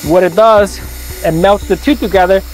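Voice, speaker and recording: Contractor, 30s, slightly high voice, lively and informal, recorded outdoors. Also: male voice, moderate pace, soft background music, poor audio. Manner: energetic, informal, demonstrating with tools. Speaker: roofing company owner. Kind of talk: on-site vlog walkthrough. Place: outdoors on a residential rooftop. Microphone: lapel.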